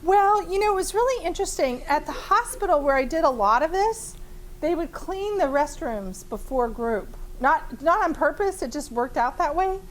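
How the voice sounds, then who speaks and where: Bubbly high-voiced woman leading a training. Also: moderate pace, slight background noise. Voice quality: big voice. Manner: lively, chatty, laughs often, many fillers. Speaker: therapist and trainer. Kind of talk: live training demonstration. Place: training room with a small audience.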